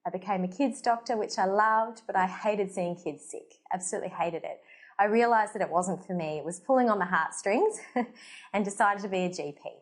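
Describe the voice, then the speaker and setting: An Australian woman in her thirties, a doctor who hosts her own show, talks straight to the camera in an earnest, conversational way. Her voice sits at a middle pitch, and she fills her pauses with ums and small restarts. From Portugal. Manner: earnest, conversational, frequent fillers. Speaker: holistic doctor, show host. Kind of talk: personal story to camera. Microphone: facing the camera.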